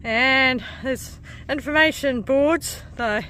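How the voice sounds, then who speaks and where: A woman's voice: high voice, takes it slowly, pushed and bright, a little hiss or hum. A woman in her forties with a New Zealand accent, vlogging casually as she hikes a coastal trail and laughing often. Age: 40s. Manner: chatty, laughs often, breathy from climbing stairs. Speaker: hiking vlogger. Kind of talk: casual vlog narration while hiking.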